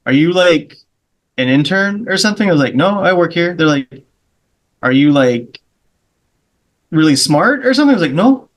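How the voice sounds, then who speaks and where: A man's voice: on the high side, lively intonation, normal speed, long pauses. A man from Charleston chatting as a podcast guest, rambling through anecdotes and acting out the other people in them. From Charleston, South Carolina. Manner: rambling anecdotes, acts out the voices of people in his stories. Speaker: guest comedian. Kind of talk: casual podcast chat.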